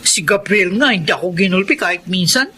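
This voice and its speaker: A woman's voice: fairly low pitch, animated, a little fast, sharp tone, a little hiss or hum. A Filipino woman playing a regretful, lonely but feisty mother in a radio drama. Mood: bitter.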